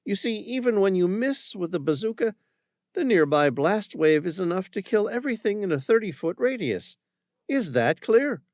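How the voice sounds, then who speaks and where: A man voicing a calm, collected armed woman who threatens in a conversational tone. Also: high voice, moderate pace, no room sound, studio quality, pitched above the performer's own voice. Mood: menacing.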